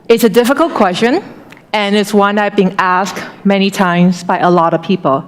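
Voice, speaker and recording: A woman from Taiwan giving a chatty conference talk on stage, asking the audience questions as she goes. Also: medium pitch. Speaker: conference speaker. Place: large conference hall. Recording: hall reverb.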